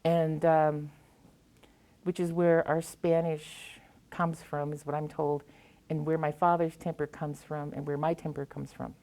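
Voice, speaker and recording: A woman's voice: deep voice, flat delivery, measured pace, warm tone. An American woman, a poet, speaking reflectively as she tells stories and reads her work to an audience. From US Pacific Northwest. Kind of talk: anecdotal talk and poetry reading to an audience.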